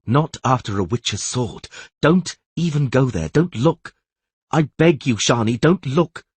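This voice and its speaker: A man's voice: medium pitch, animated, unhurried, husky, no room sound, pitched above the performer's own voice. A man doing a dull-voiced, pleading poet.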